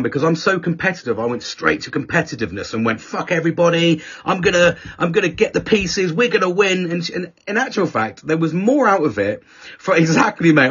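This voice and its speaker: Husky guy rambling through a work story, swears a lot, pitch jumps all over, kinda muffled lo-fi sound. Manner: animated, sweary, acts out quoted people. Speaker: podcast host. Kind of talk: casual storytelling chat. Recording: dull lo-fi podcast audio.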